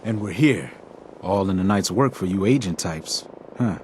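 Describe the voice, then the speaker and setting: A man playing a friendly, chatty safe-house agent in a video game, breezy and informal, with a slightly husky voice. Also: deep voice, animated, moderate pace, a little mellow, big-room sound, a little hiss or hum. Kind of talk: video game character dialogue.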